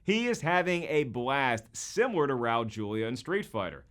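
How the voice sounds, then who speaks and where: A man's voice: mid-range voice, big pitch swings, moderate pace, a bit bright. A man hosting a scripted video commentary in a jokey, colloquial and emphatic way. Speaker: host.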